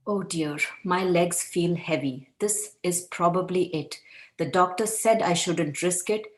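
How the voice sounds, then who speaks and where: Lady playing a worried teen girl athlete, stubborn, voice a bit low. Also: a little slow, natural voice, rough recording. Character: anxious, determined teenage girl badminton player. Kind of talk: table read of a play script.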